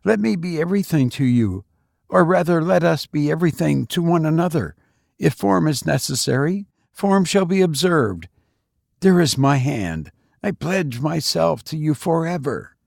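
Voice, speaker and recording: Impassioned young male student for a gothic storybook, played by a man, higher-pitched, fervent, pleading delivery. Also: lively intonation, normal speed, vocal fry, darkish tone, no echo, studio quality. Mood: ardent, pleading. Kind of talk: storybook character dialogue.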